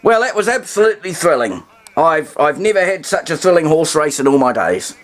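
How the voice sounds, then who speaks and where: A man's voice: on the high side, very animated, a little fast. A man with a New Zealand accent giving a casual, rambling workbench demonstration with wry humour. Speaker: hobbyist presenter.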